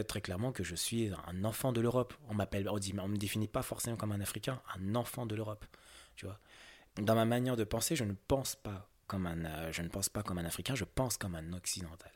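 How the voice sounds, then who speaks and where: A man's voice: on the low side, darkish tone. A young man from France answering interview questions candidly, hesitant, with many fillers and restarts.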